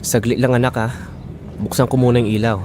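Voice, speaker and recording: A man's voice: medium pitch, level tone, fast, background noise, close to an everyday voice. A man playing a hopeful, stubborn husband and father in an audio drama, reassuring and insistent.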